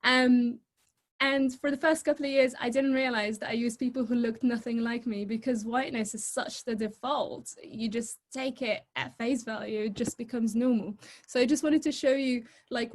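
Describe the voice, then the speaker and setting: High-voiced young woman giving a talk. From Egypt. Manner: lively, chatty and informal, quick to laugh. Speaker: guest speaker. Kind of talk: presentation talk on a video call. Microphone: video call.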